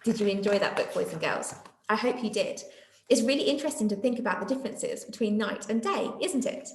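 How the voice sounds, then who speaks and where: A woman's voice: mid-range voice, lively intonation, fairly quick, a little mellow. A woman reading stories expressively to young children as a schoolteacher would, addressing them directly. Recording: echoey room.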